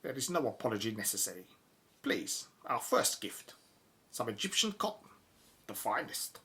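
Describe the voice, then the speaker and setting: A man playing a courteous, smoothly formal Egyptian envoy in an audio drama, polite, measured and ceremonious. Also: mid-range voice, sing-song, bright, forceful tone.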